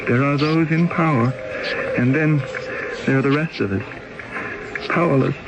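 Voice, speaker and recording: A man's voice: mid-range voice, moderate pace, background noise, close to an everyday voice. An actor playing a desperate, naive young exiled convict in an old-time radio drama, earnest and faltering in his delivery. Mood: anguished. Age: young adult.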